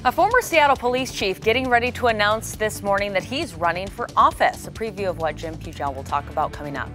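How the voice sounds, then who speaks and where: A woman's voice: mid-range voice, lively intonation, quick pace, fairly bright tone, soft background music. An American woman anchoring a morning TV newscast, reading scripted news.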